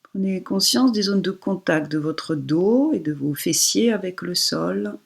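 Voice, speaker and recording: Very slow, breathy woman guiding relaxation. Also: lowish voice. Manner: soothing, unhurried instructions with pauses. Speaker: yoga instructor. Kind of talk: guided relaxation meditation.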